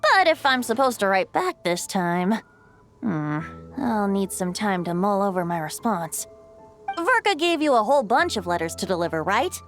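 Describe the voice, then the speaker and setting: A woman's voice: medium pitch, sing-song, moderate pace, fairly bright tone. A woman voicing a youthful, whimsical male bard in a video game, eloquent and playfully proud. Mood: easygoing. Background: game music.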